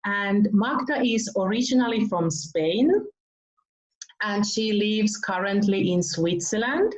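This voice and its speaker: A woman from Finland hosting an online interview, gushing with praise and laughing softly as she talks. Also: medium pitch, expressive, takes it slowly. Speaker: interview host. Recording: roomy, dull video call audio.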